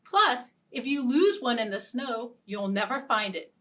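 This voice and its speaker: A woman librarian leading a children's storytime, singing simple repeated verses and reading a picture-book story in a gentle, expressive way. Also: on the high side, unhurried, far from the mic. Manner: gentle, sing-song and expressive. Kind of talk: children's storytime with songs and ukulele.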